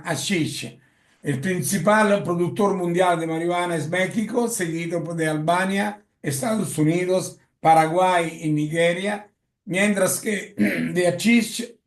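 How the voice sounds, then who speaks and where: A man with an Italian accent gives a lecture in Spanish, often halting with fillers and correcting himself. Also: sits high. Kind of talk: lecture presentation. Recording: echoey room.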